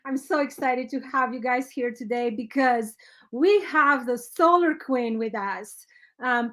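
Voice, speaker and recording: A woman hosting a live-streamed interview show, effusive and greeting viewers by name. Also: high-pitched. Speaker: host.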